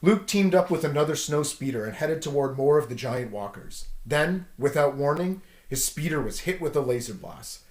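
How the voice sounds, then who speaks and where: A man with a fairly high voice narrates a children's adventure story aloud. His delivery is dramatic, leaning into the dialogue, and the room adds a clear echo. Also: expressive, moderate pace, few pauses. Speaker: storybook read-aloud host. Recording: roomy echo.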